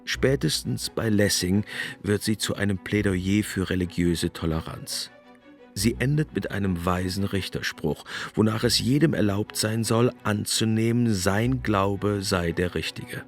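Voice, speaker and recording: A man's voice: on the low side, unhurried, faint music behind. A man giving essayistic commentary in a dry, explanatory way.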